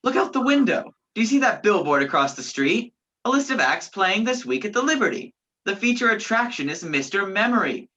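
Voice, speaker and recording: A woman's voice: mid-range voice, big pitch swings, fairly quick, pushed and bright. A woman playing a determined, commanding man on the run in a radio play. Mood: urgent. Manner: urgent, commanding. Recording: dull lo-fi virtual recording.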